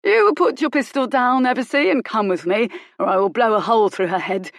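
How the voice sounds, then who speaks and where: A woman's voice: a little high, expressive, fairly quick, no echo, clean studio sound, pitched above the performer's own voice. A woman putting on a gloating brutish bounty hunter. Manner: boastful, leering. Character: brutish coarse male bounty hunter, greedy and crude.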